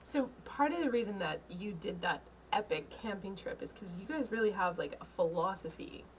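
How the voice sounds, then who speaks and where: A woman's voice: mid-range voice, expressive, moderate pace, slight background noise. A woman co-hosting a podcast in a studio, asking her guest curious questions and laughing lightly as she talks. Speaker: podcast co-host. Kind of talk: casual interview chat.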